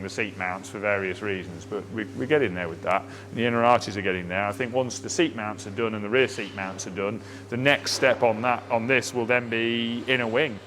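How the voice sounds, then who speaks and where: Halting man in a workshop. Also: fairly low pitch, a bit bright, a little hiss or hum. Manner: halting, restarts often, plain technical talk. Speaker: car fabricator giving a build update. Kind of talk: casual workshop walkaround. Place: indoor car workshop.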